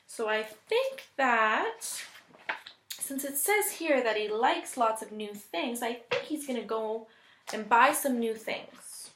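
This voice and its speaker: A woman reading a picture book aloud as a teacher would, deliberate and expressive, pausing to think aloud. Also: on the high side, noisy background. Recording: echoey room.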